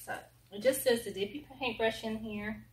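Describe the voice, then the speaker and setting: A soft-spoken woman with a Southern US accent, talking low and hesitantly with many ums as she shows a home project alone in front of her phone camera. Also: steady tone, normal speed, background noise. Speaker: homestead vlogger. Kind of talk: casual how-to vlog.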